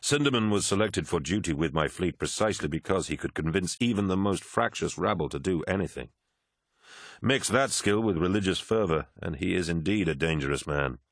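A man voicing a commanding, brooding warlord in an audiobook, stern and deliberate. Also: sits low, animated, no room sound, studio quality.

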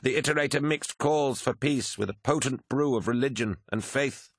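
A man voicing a deferential military adviser in an audiobook, measured, formal and obsequious. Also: mid-range voice, dry recording, clean studio sound, voice pushed up, lightly acted.

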